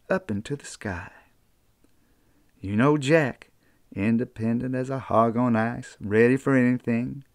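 An older man with an Appalachian accent, reading a children's storybook aloud in an unhurried, folksy way. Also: mid-range voice, vocal fry, no echo, studio quality. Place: small book-lined room indoors.

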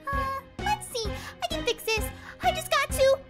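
A woman's voice: very high voice, expressive, moderate pace, loud music behind the voice. A woman voicing a hyper, bubbly young female party pony in a fan-made cartoon radio play, with bouncy, scatterbrained chatter. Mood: flustered.

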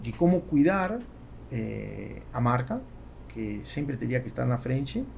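A man in his forties with a Spanish accent in Portuguese, talking casually and haltingly as a podcast guest. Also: mid-range voice, very animated, gravelly, a little mellow. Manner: halting, with repeated words. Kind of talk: casual interview talk. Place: small foam-padded podcast studio. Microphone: close studio microphone on boom arm. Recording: faint background noise.

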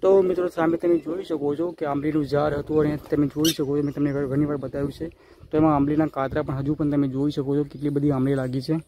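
A man's voice: a little high, flat delivery, racing, dark tone, a little hiss or hum. A young man with a Gujarati accent, walking around his village rooftop and describing what his phone camera shows, repeating his phrases often. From Gujarat, India. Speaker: village vlogger. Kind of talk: casual walk-and-talk vlog. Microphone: handheld phone camera. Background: birds calling.

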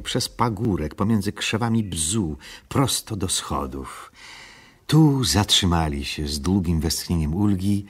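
An older actor narrating a children's storybook drama, calm and measured, with a husky voice. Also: male voice, deep voice, heavily acted.

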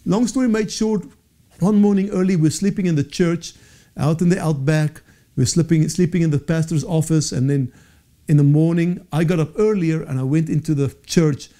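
A man's voice: on the high side, normal speed, dark tone. A man in his forties whose first language is Afrikaans, teaching a Bible session to camera in a studio with earnest, gesturing delivery and frequent self-corrections. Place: studio with dark backdrop. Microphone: lapel mic.

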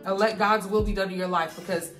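A young woman vlogging in a casual, encouraging way, her voice hoarse and congested, talking straight to her viewers. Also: medium pitch, fairly even intonation, fast talker, a bit bright, roomy sound, soft background music. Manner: encouraging, preachy, addresses viewers directly. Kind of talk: casual faith-filled catch-up talk.

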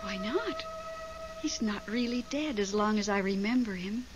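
Middle-aged widow, female actor: plaintive, devoted, animated intonation, stagey radio-play delivery on muffled vintage tape. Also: medium pitch, normal speed, music in the background, lightly acted. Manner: emotional, theatrical. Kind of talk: 1970s radio drama acting. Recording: dull, noisy old radio broadcast recording.

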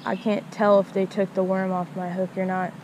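A woman's voice: medium pitch, flat delivery, moderate pace, darkish tone, lo-fi sound, a little hiss or hum. A woman casually narrating her fishing outdoors by a small creek, recorded on an action camera, chatty and talking to her viewers. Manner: chatty, repeats words, addresses viewers as guys. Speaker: fishing vlogger.

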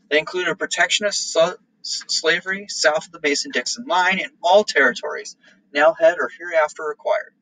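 Casting: American man, thirties, as an informal teacher reading to a class; crisp bright tone, fairly dry close sound. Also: a little high, no room sound, low-quality recording. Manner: checks in with frequent "okay". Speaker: history teacher. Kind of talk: read-aloud lesson with informal explanations.

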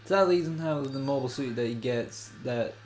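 A man with a bit of a stuffy nose chats offhandedly over his gameplay, in a middle-pitched everyday voice. A faint hum of room ambience sits underneath. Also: brisk. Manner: relaxed, chatty, offhand. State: sniffly, stuffy-nosed. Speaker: let's play host. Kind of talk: casual gameplay commentary.

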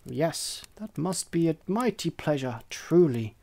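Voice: lively intonation, unhurried. A reader putting on a scolding bossy hen. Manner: lecturing, loud and high. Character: bossy conceited hen, scolding and self-important. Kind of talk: storybook reading.

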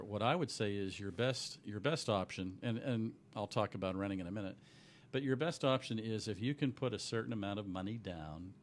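Slightly hoarse middle-aged man, low-pitched, earnest explainer, desk-mic radio studio with faint hum. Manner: earnest, explanatory, many restarts. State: a little hoarse. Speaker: mortgage company owner, guest. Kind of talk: explaining on a radio talk show. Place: small radio studio with backdrop. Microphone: desk microphone on boom.

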